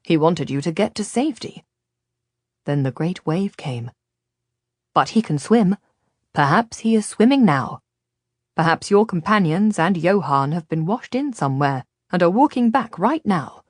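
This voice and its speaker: A woman's voice: on the low side, expressive, unhurried, no room sound, clean studio sound. A woman reading a storybook, voicing a shaken young man who blames himself. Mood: shaky. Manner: self-reproaching, shaky.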